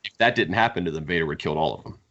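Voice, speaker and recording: A man's voice: extremely low pitch, lively intonation, racing, fairly bright tone, muffled recording. A man co-hosting a podcast, giving critical opinions in casual book discussion. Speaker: podcast host.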